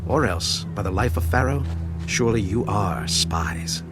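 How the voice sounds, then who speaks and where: A stern, commanding Egyptian governor over music. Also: male voice, on the low side, expressive, unhurried. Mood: stern, accusing. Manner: accusing, authoritative, formal. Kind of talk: dramatized audio Bible acting. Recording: loud music bed.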